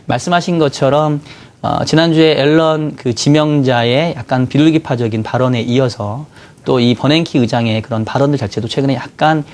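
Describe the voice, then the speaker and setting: A man with a cold-roughened voice, a bond strategy guest in a TV studio, explaining market moves in a measured way with many fillers. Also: medium pitch, a little mellow. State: hoarse from a cold. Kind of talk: financial market analysis.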